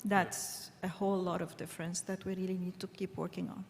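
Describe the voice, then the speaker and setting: A woman's voice: lowish voice, level tone, brisk, gravelly, darkish tone. Lebanese woman panelist in a reverberant hall. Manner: hesitant, many fillers and restarts. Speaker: panelist, wellness coach. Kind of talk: conference panel discussion.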